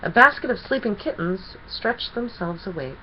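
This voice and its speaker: A woman reading a children's story aloud as an expressive storyteller. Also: mid-range voice, moderate pace, highs cut off, a little hiss or hum.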